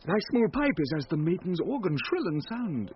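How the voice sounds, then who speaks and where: An actor playing a lovesick, commanding noble lord, speaking in romantic, declamatory Shakespearean radio drama style. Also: male voice, sits high, big pitch swings, moderate pace. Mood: tender, ardent. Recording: dull recording with noisy ambience.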